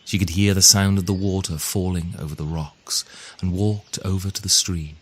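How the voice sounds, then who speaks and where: A man narrating a fairy tale in a measured, theatrical storytelling manner. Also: low voice, fairly even intonation. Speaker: storyteller and author reading his own tale. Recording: music under the voice. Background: music.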